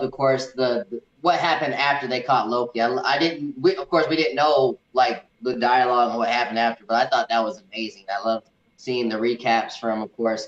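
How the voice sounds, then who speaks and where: A man in a casual movie review chat, talking as an enthusiastic fan and often repeating words haltingly. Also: mid-range voice. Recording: echoey room, dull muffled audio.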